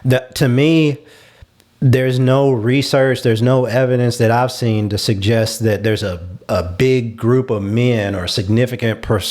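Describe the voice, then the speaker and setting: A man's voice: mid-range voice, steady tone, measured pace, a little mellow. Guy on a talk panel, earnest, explaining his take in a casual chat. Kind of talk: casual group discussion.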